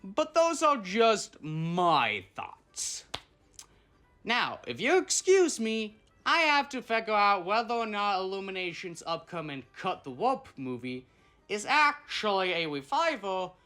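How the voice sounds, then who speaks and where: A video host delivers casual, opinionated commentary in a bright, forceful voice. The pitch moves around in a lively way, and the wording is loose and sweary. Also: unhurried, soft background music.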